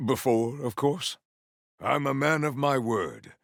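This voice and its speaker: Game warrior-poet: battle-eager, lofty formal diction, gravelly edge, very dry close sound. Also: male voice, medium pitch, lively intonation, takes it slowly, no room sound. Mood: measured, lofty. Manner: grandiose, formal, theatrical diction. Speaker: video game voice actor. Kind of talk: scripted game character lines.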